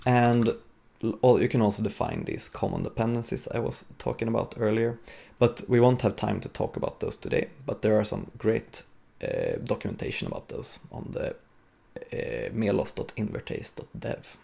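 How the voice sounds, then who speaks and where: A man giving an informal, explanatory live coding talk as a conference speaker, with a gravelly, husky voice. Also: lowish voice, very animated, moderate pace, warm tone.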